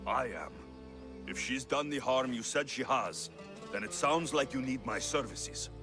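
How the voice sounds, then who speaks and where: An actor playing a blunt, brooding young Greek mercenary in a video game, questioning and challenging others. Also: male voice, mid-range voice, animated, measured pace, over music, close to an everyday voice. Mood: firm. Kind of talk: video game cutscene acting.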